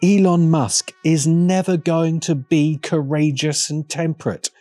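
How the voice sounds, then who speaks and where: A man's voice: fairly high pitch, steady tone, unhurried, mellow, no room sound. A man delivering scripted, opinionated commentary in an emphatic, sardonic way. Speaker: video essayist. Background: music.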